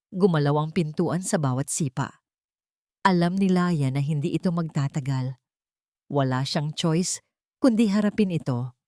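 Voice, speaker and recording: A woman's voice: low-pitched, dark tone, no echo, clean studio sound. A woman with a Filipino accent narrating a dramatic scripted story.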